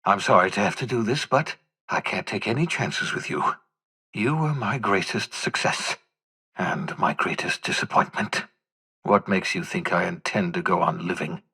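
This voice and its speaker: An older man acting as an embittered, desperate renegade scientist in a video game, with a raspy voice and a theatrical, sarcastic, erudite manner. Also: deep voice, normal speed, bright, forceful tone, heavily acted. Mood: regretful, resolute.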